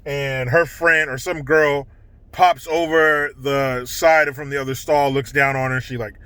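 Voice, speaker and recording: A man's voice: medium pitch, fairly even intonation, measured pace, a bit bright, clean tone, no echo. A man hosting a vlog, giving casual, opinionated political commentary in a blunt, indignant, profane manner.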